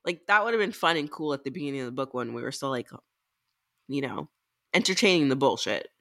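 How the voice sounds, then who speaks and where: A woman's voice: extremely low pitch, lively intonation, quick pace, a bit bright. A woman co-hosting a podcast, speaking in casual conversation. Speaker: podcast co-host. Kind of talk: casual chat.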